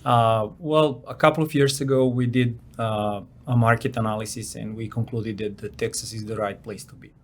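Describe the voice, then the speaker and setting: A man's voice: mid-range voice, fairly even intonation, moderate pace, hardly any pauses. A man from Serbia, a company founder answering interview questions plainly and hesitantly, with many fillers. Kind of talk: interview guest.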